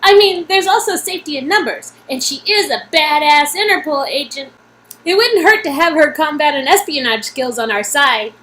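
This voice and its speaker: A woman's voice: high-pitched, expressive, normal speed, sharp tone, slight background noise. A woman co-hosting a story game read-through, chatting casually and playfully. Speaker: co-host.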